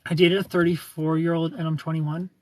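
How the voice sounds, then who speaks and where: A man's voice: fairly high pitch, flat delivery, fairly quick, darkish tone, slight background noise, poor audio. A man in his thirties hosting a casual livestream, reading viewer comments aloud, joking about himself and laughing often. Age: 30s. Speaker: livestream host.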